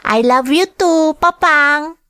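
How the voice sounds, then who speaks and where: A woman's voice: high-pitched, takes it slowly, bright, forceful tone, dry recording, clean studio sound, exaggerated voice. A woman playing a dutiful young granddaughter in a radio drama, respectful and youthful, with an Ilocano accent. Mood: affectionate. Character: dutiful young granddaughter, obedient but a little reluctant. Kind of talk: Filipino radio drama acting.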